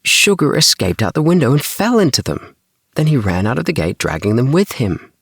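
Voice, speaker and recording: Earnest, protesting boy in a kids' audiobook, done by a male reader, pitched up, dry close recording. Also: sing-song, a little fast, creaky, husky, no room sound, exaggerated voice. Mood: insistent, indignant. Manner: earnest, insistent, exclaiming. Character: Tim, earnest young boy pleading with parents.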